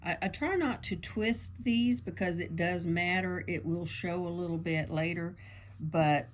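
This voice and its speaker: Croaky woman chatting through a craft tutorial. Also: on the low side, unhurried. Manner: chatty, meandering, lots of fillers. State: croaky from allergies. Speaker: crafting channel host.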